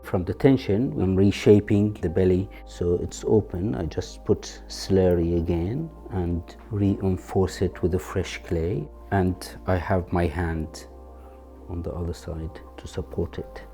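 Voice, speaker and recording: A low, soft-edged male voice with a Syrian Arabic accent. He is a native Arabic speaker explaining his craft step by step, documentary style, in plain and slightly non-native English. Faint music plays with him. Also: fairly even intonation, unhurried. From Aleppo, Syria. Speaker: sculptor and archaeologist.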